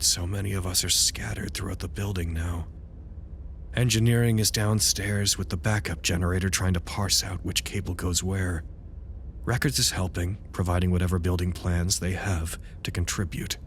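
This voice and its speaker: An actor playing a dutiful researcher at an underground anomaly site in an audio drama, speaking in a formal, wordy way with dry wit. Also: male voice, sits low, a little hiss or hum. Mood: wry, weary. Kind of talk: audio drama, recorded log monologue.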